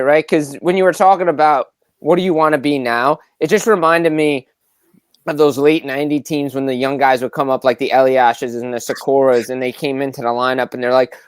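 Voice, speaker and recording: A man's voice: mid-range voice, clear, resonant tone, no room sound. A man co-hosting a sports podcast, talking casually as he builds up to questions for a guest. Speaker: podcast co-host.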